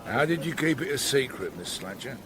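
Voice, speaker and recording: An actor playing a gruff, sardonic older chief inspector with a British accent in a radio drama, talking in a blunt, patronising way. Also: male voice, mid-range voice, animated, fairly quick, crowd noise, lightly acted. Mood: subdued.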